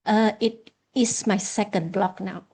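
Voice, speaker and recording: A woman, calmly introducing herself as a master's student to her class. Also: mid-range voice, measured pace, husky. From Sabah, Malaysia.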